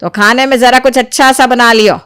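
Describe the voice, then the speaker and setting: Woman acting nagging, high-voiced mother-in-law. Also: fairly even intonation, fairly quick, fairly bright tone, clear, resonant tone, no room sound, clean studio sound, lightly acted. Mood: bossy. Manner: scolding, complaining, exaggerating. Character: nagging, domineering middle-aged mother-in-law in a family. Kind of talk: Hindi animated moral story acting.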